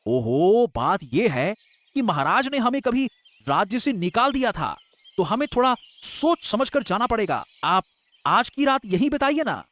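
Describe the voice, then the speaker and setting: Scheming, flattering village man, done by an actor, obsequious storybook melodrama, highly animated intonation. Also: a little slow, dry recording. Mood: feigned helpfulness. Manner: obsequious, theatrical, repetitive. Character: wily, flattering male villager feigning humble concern. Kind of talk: melodramatic storybook audio drama.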